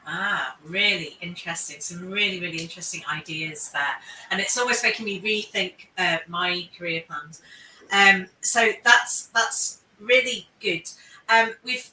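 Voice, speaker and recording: A woman's voice: lowish voice, unhurried, pushed and bright, slight background noise. A woman moderating a live panel Q&A in a chatty, encouraging way, often repeating her words. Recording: echoey room, distant mic.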